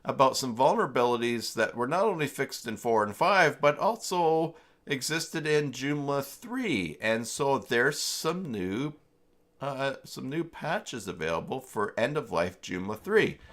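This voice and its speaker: A man hosting a casual live software tutorial, talking informally and haltingly as he thinks aloud while chatting with viewers. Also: medium pitch. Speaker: livestream host demonstrating website tools.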